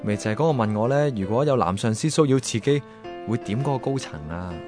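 A man's voice: medium pitch, quick pace, music in the background, close to an everyday voice. An actor playing a hapless, wryly plaintive young man who narrates his diary in a radio drama. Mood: wry, bemused.

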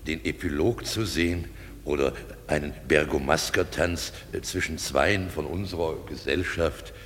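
A man's voice: measured pace, fairly bright tone. An actor playing an eager, bumbling amateur player in a comic radio play, earnest and hesitant as he addresses his betters. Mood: eager, fumbling. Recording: hall reverb, faint noise and rumble.

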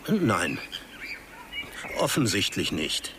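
An actor in a radio drama playing a withdrawn older researcher who expounds eerie theories in a halting, musing, theatrical way. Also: male voice, sits low, huge pitch swings, noisy background, exaggerated voice. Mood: weary, guarded.

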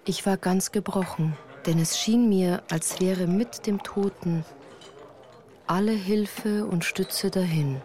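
A woman's voice: mid-range voice, slow pace, a little mellow. A woman reading scripted first-person narration for a radio play. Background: music.

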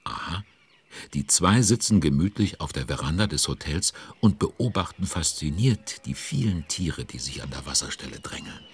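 A man's voice: rumbling low, lively intonation, normal speed, husky, heavily acted. A man acting as the kindly narrator of a children's audio play, telling the story in a cosy, confiding way.

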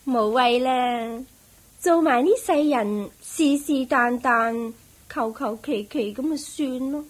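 An actress playing a brazen, world-weary courtesan in a Cantonese radio drama, teasing and mocking with a Hong Kong accent. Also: female voice, on the high side, slow pace. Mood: scornful, resigned.

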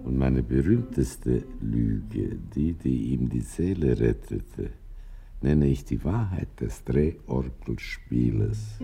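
An actor playing a weary, bitter bookseller who spins tall tales in a radio drama, halting and brooding with dramatic pauses. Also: male voice, warm tone, heavily acted. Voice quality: very deep, gravelly.

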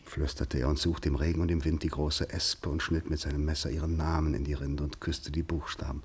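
A man narrating a literary radio play in measured, deliberate short phrases. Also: very deep voice, little pitch movement, breathy edge.

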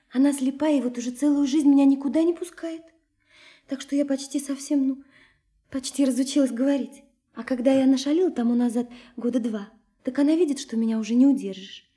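Radio drama, actress as naive young woman: very high, mellow voice, lively and curious, playfully pressing with questions. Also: brisk, natural voice. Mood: confiding, playful. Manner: girlish, eager, teasing, pressing with questions. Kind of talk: Russian radio play acting.

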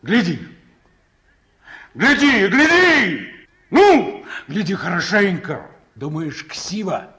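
A man's voice: high-pitched, very animated, slow pace, long pauses, bright, forceful tone, heavily acted. An actor playing a weary, proud reformed ex-convict man in a Soviet radio drama, speaking tersely. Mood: insistent, proud.

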